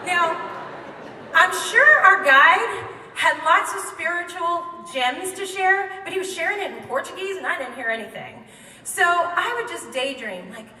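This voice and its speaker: A woman telling a comic true story live on stage, wry and quick to laugh, recorded in a hall by a microphone set back from her. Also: high-pitched, sharp tone, a little hiss or hum. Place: theater stage before an audience. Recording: echoey hall, mic from far off.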